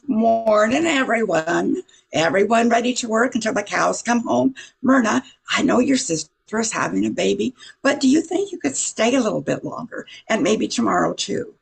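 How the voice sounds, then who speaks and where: A woman playing a bossy, demanding office boss with a raspy, imperious delivery in a radio comedy. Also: a little high, expressive, moderate pace, full character voice. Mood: brisk, demanding. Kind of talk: old-time radio comedy acting.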